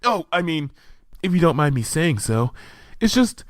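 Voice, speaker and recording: A man voicing a timid, gentle young female pony who speaks hesitantly and stammers. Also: fairly high pitch, sing-song, moderate pace, no room sound, studio quality, pitched above the performer's own voice.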